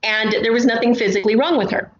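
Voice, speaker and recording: Higher-pitched woman in an echoey, noisy room. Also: fast talker, crowd noise. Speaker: guest, audio drama writer. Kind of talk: casual interview chat. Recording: roomy, dull, noisy remote connection.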